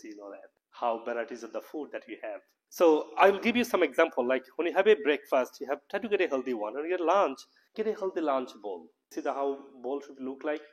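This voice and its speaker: A man with a Bangladeshi accent giving an informal health lecture, speaking earnestly in loose, repetitive English phrasing. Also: mid-range voice. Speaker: professor and health practitioner giving a talk.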